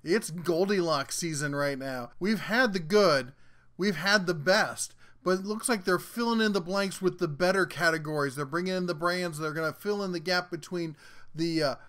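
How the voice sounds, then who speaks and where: A man's voice: high-pitched, normal speed, fairly bright tone. A man hosting a tool review channel, giving a casual, folksy and jokey rundown of a new product as a voice-over.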